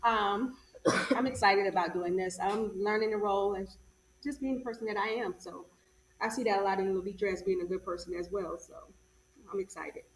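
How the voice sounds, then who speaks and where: A woman's voice: medium pitch, fairly even intonation, brisk. A woman, a stage actress, introducing herself casually as a guest. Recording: echoey, distant mic, background noise.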